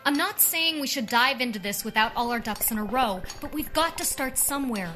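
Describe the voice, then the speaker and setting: An actress playing an earnest, persuasive, resolute ally in a radio drama. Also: female voice, a little high, animated, a little fast, bright, forceful tone. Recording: faint music behind the dialogue.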